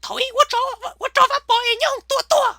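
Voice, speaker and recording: Shrill cartoon character, extremely high, razor-bright, excitable, comic delivery. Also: female voice, fast, gravelly, exaggerated voice. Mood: chattering.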